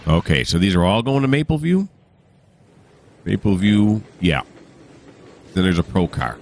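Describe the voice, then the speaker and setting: Casual gaming host, man, deep voice from a cold, rambling and self-correcting, noisy home mic. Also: animated, a little slow, a little mellow. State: has a cold, voice deepened. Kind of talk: casual train simulator commentary.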